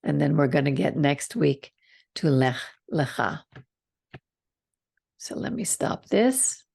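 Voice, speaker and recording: A low-voiced older woman reads scripture aloud on a video call, slow and unhurried, stopping now and then to comment. Her voice has a gravelly edge. The sound is close and dry. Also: no echo. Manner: slow, calm reading with side comments. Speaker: Torah study host. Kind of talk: reading scripture and teaching.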